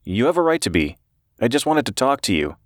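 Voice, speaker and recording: A man performs an audiobook reporter, nervous and eager to please. His voice is pushed up higher, with hurried, earnest, apologetic phrasing. The sound is close and very dry. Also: sing-song, dry recording, lightly acted. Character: nervous, pleading male newspaper reporter on a phone call. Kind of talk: audiobook character dialogue.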